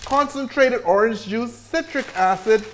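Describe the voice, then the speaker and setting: A man's voice: very high voice, unhurried, slight background noise. A man with an American accent hosting a cocktail show, bantering in casual chat with jokes and cursing. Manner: joking, profane banter. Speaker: bartending show host.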